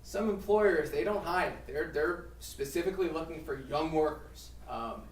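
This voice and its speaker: A man with a slightly high voice walks a seminar audience through the law in a loose, halting way. He is picked up from a distance in a roomy space, with faint noise behind him. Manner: conversational, halting, many restarts and fillers. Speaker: employment lawyer presenting a seminar. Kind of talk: seminar talk with a co-presenter. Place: seminar room.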